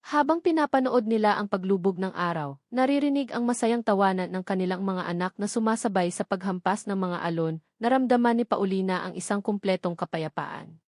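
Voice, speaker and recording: A woman with a Filipino accent reads a scripted romantic story as an audiobook narrator, in a measured storytelling delivery. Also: mid-range voice, a little mellow, no room sound, studio quality.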